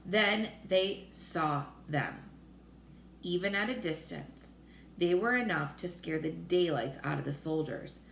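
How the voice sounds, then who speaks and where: A woman reading a children's story aloud in an expressive narrating style. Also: on the low side, slow, creaky. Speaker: storybook reader. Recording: roomy echo with faint noise.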